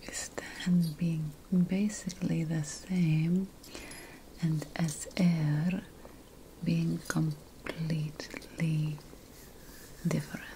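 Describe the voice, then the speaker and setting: Woman doing a calm ancient witch priestess for asmr, soft dark breathy whisper, super slow, solemn n soothing. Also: low-pitched, a little hiss or hum, heavily acted, rough recording. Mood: gentle, attentive. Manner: slow, soothing, deliberate, repeating phrases. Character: calm, ceremonial ancient Mesopotamian priestess witch offering potions. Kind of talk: ASMR roleplay.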